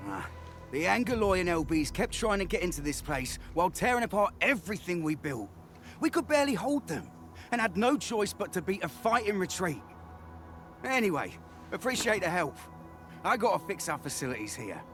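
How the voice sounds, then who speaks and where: A hot-headed male worker from a video game, pitched high for a man. His delivery is very animated and colloquial, full of excitable bluster, over clear background music. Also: moderate pace. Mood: determined, chatty.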